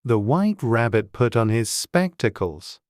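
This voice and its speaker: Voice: mid-range voice, sing-song, unhurried, darkish tone, dry recording, studio quality. An audiobook narrator reading a classic tale in a dramatized storybook style.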